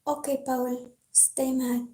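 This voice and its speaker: A woman with a French accent speaks slowly in a slightly high, soft, mellow voice. She chats with her live-stream audience in an easygoing way and reads a book aloud. The sound is roomy and echoey, picked up through a home setup. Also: little pitch movement. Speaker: livestreamer reading a novel aloud. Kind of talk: casual live-stream chat and book reading. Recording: roomy echo.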